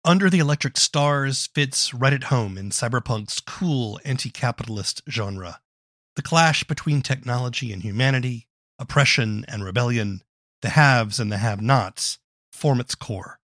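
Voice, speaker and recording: A man's voice: medium pitch, moderate pace, a bit bright, no echo, clean studio sound. A man hosting a podcast, delivering questions and scripted narration in a presenter's manner. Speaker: podcast host. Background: music.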